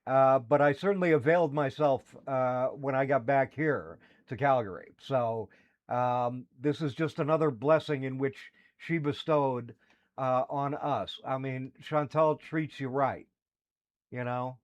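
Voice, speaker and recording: A man's voice: medium pitch, unhurried, dull audio. A man co-hosting a livestream, chatting casually and rambling with many fillers and restarts. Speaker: podcast co-host. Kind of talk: casual chat.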